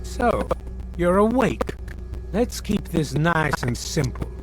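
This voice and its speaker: A man's voice: on the high side, sing-song, slow, poor audio. A man playing a stern, impatient military colonel in a video game, giving commanding, threatening orders. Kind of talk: video game cutscene acting. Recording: loud music behind the voice.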